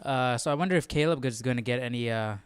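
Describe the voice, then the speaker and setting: Male study host: clear tone, dry sound, halting informal musing. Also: mid-range voice, dry recording. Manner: halting, musing, often tags remarks with "right?". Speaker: host of a Bible study livestream. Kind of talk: informal thinking-aloud commentary.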